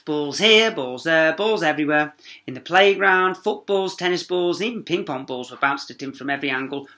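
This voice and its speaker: A man's voice: fairly high pitch, animated, sharp tone. A man reading a children's story aloud like a primary teacher, dramatic and emphatic. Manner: dramatic, with emphatic pauses and sound words.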